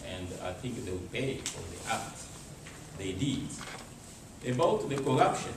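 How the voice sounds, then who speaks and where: A man from DR Congo with a Congolese accent, making an impromptu formal comment in halting, non-native phrasing. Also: fairly low pitch, sing-song. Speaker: embassy representative commenting from the audience. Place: meeting room, speaking without a microphone. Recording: very echoey, distant, clearly noisy room.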